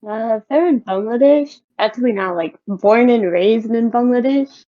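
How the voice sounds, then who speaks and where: A woman's voice: on the high side, normal speed. A young woman co-hosting a podcast in casual, conversational talk. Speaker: podcast co-host. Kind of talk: casual chat. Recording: dull lo-fi audio.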